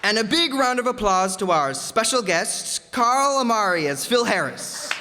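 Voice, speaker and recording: A man's voice: sits high, very animated, measured pace. A man announcing cast credits in old-time radio style to an audience in a town hall. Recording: echoey hall, crowd, noisy background.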